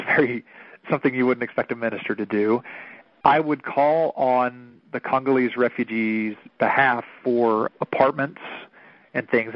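A man's voice: medium pitch, no echo. Earnest man in his thirties, dry lo-fi sound. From Oklahoma, United States. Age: 30s. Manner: earnest, thoughtful, measured storytelling. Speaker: minister, interview guest. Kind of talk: reflective interview answers.

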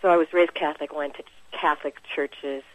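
A woman's voice: low-pitched, steady tone, brisk, no room sound, low-quality recording. An American woman, a musician, speaking casually and informally as an interview guest. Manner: chuckles often, informal.

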